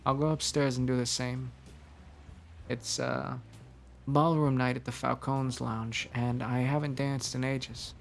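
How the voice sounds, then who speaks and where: A jaded, weary tough guy, as a man puts him on growls out blunt advice and mock threats. His voice is low-middle and gravelly with a rough fry. Soft music plays faintly underneath the audio roleplay. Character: weary, gruff man, jaded and teasingly menacing.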